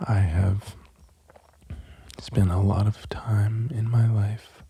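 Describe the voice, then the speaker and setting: Man, soft ASMR delivery, low-pitched, flat intonation, breathy near-whisper, gentle pauses, close dry mic. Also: slight background noise. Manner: gentle, unhurried, halting with long pauses. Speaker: host of an ASMR channel. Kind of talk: soft-spoken ASMR, musing and poem reading. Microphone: close microphone.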